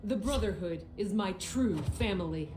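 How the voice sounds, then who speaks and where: A woman playing a vengeful, resolute leader of assassins in a video game, speaking in a measured, dramatic way. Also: mid-range voice, expressive, over music, close to an everyday voice. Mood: solemn.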